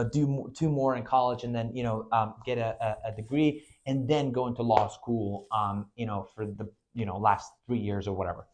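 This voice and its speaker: A man's voice: medium pitch, a little mellow. A man from Venezuela in his twenties delivers a motivational recruiting talk for his own agency. He speaks earnestly and in a mid-range voice, often leaning on "you know" and "right" as he goes. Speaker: agency owner recruiting staff. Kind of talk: recruitment video monologue.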